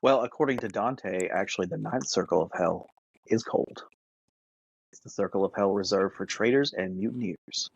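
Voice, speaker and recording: A man's voice: on the low side, moderate pace, vocal fry, slightly rough, dry recording, dull audio. A man co-hosting a podcast in casual chat. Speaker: podcast co-host.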